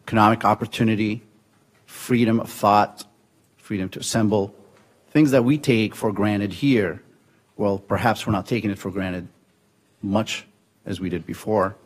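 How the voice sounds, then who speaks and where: Middle-aged Syrian-born panelist, low-pitched man, deliberate and halting delivery. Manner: measured, pausing often with fillers and restarts. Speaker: conference panelist. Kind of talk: policy panel talk.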